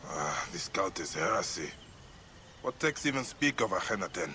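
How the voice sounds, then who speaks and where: Grave low-voiced warrior Bayek. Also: male voice, big pitch swings, normal speed, sharp tone, a little hiss or hum, heavily acted. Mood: grave, probing. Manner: terse, solemn, determined. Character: grave, driven male warrior investigator of ancient Egypt. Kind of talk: dramatic video game cutscene acting.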